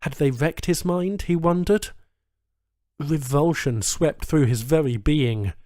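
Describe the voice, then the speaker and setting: Slow, measured male audiobook narrator. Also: on the high side, dark tone, no room sound, studio quality. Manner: deliberate, measured, suspenseful. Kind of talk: dramatic scripted narration of science fiction story.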